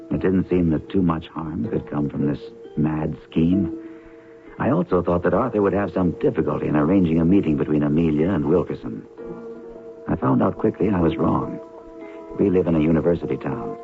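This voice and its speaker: An actor playing a regretful scientist, narrating in a sober, confessional way in an old-time radio drama. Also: male voice, low voice, creaky. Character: regretful scientist narrating his own mad scheme. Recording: muffled tinny old radio broadcast, music behind.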